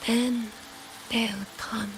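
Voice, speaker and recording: Gentle game heroine, high voice, super slow and soothing, music all around. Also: female voice, little pitch movement, low-quality recording. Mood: tender. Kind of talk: video game voice acting.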